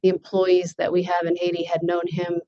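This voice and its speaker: A woman's voice: lowish voice, completely flat delivery, moderate pace. A woman hosting at a symposium, responding to participants in an informal, unscripted way. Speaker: symposium speaker, network meeting host. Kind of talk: informal panel discussion and answers. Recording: dull lo-fi audio.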